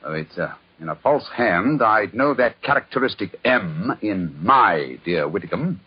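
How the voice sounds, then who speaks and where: Casting: courteous English gentleman sleuth, theatrical and highly animated, on dull lo-fi old-time radio. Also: measured pace, husky. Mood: intent, urgent. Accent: British. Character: brisk, courteous male English gentleman detective. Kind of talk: 1940s radio drama acting. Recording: dull, lo-fi 1940s radio broadcast.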